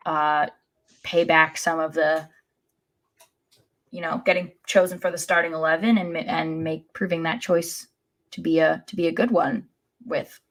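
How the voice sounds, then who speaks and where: A woman's voice: low voice, fairly even intonation, moderate pace, long pauses, fairly bright tone. A woman co-hosting a soccer podcast, recapping a match in a wry, informal way. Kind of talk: casual match recap chat.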